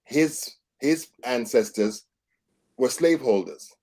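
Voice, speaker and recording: A man's voice: mid-range voice, animated, takes it slowly, a little mellow. A middle-aged man co-hosting a casual morning talk show, making earnest, emphatic points. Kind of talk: casual panel discussion.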